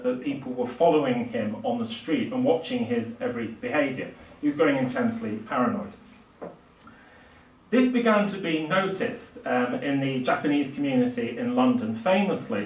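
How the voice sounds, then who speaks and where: Older man lecturing in an echoey room. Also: medium pitch. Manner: halting, with frequent hesitations mid-phrase. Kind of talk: public lecture on literary history. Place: small indoor lecture room. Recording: echoey room, distant microphone.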